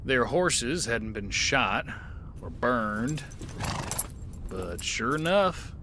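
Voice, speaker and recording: An actor narrating as a weary gunslinger in an audio drama, with a dry, laconic delivery. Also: male voice, medium pitch, sing-song, extremely slow, background noise. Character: weary male gunslinger narrating his tale.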